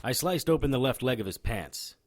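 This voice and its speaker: An actor playing a hard-boiled occult private investigator in a noir audio drama, with a deadpan, wry manner. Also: male voice, medium pitch, fairly quick, slight background noise, close to an everyday voice. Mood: deadpan, brooding. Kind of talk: noir radio drama acting with first-person narration.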